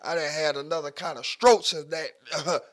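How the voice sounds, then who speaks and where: A man's voice: fairly high pitch, fairly even intonation, normal speed, a bit bright. A man co-hosting a podcast, bantering playfully in slang. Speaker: podcast co-host. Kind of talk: casual chat.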